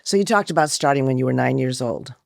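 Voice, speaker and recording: A woman's voice: very deep voice, fast, creaky, no echo, studio quality. A woman journalist conducting a conversational interview, posing questions and reflecting on the answers.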